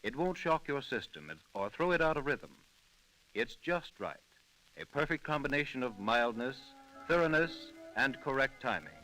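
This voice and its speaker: A man announcing a scripted sponsor message on old-time radio, with emphatic, measured salesman phrasing. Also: medium pitch, sing-song, pushed and bright, soft background music, rough recording.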